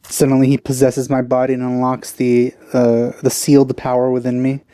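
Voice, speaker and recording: A man giving casual, low-key commentary as he plays a video game, deadpan in delivery. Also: moderate pace, clear, resonant tone. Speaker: let's play host.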